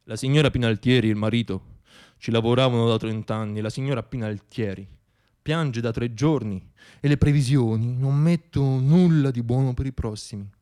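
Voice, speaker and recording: A man performing a scripted radio theatre monologue as storyteller, with dramatic, deliberate phrasing and pauses. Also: medium pitch, mellow, smooth.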